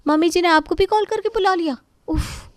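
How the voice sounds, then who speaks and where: A woman's voice: very high-pitched, normal speed, no echo, voice pushed up. A woman voicing a young, overworked, resentful daughter-in-law who complains in exasperation in an Indian family story. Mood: exasperated complaining.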